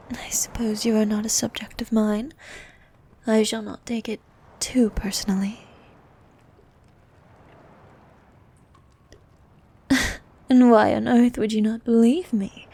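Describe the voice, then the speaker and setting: A woman playing a bossy, haughty ancient sea queen monster in an ASMR roleplay, with a soft, partly whispered voice and regal, condescending manner. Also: fairly high pitch, creaky, slight background noise. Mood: imperious, offended. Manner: regal, condescending, formal, unhurried.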